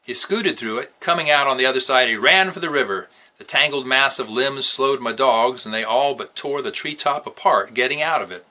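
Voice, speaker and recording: A man reading a novel aloud as an expressive storyteller. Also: mid-range voice, a little fast, few pauses. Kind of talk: expressive read-aloud narration.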